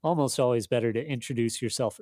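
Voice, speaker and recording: A man speaking casually as an editor being interviewed, in a thoughtful, halting, self-deprecating way. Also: mid-range voice, mellow, dry recording, clean studio sound. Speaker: magazine editor, interview guest.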